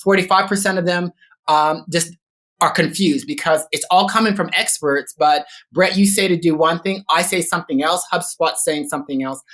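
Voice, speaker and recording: A man's voice: a little high, normal speed, a bit bright. Articulate Canadian man, guest consultant. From Toronto, Canada. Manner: articulate, lists points with frequent um and uh. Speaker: guest business growth consultant. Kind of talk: conversational podcast interview.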